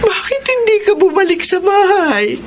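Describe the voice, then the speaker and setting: A woman's voice: extremely high pitch, very animated, normal speed. A woman playing a devout older mother in a Filipino radio drama, pleading and consoling in a sorrowful way. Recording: faint background noise.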